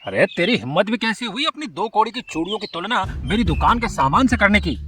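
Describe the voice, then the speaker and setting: A man's voice: high-pitched, very animated, a little fast, fairly bright tone, slight background noise. A man voicing a rude, haughty and spiteful male crow shopkeeper, a bullying villain in a children's cartoon story. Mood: scornful.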